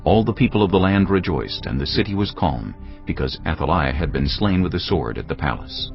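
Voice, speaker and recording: A man with a very deep voice narrates scripture in a solemn, measured way. His pitch moves expressively through the dramatic reading, over background music. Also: animated. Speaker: Bible narrator. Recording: dull, lo-fi audio with music.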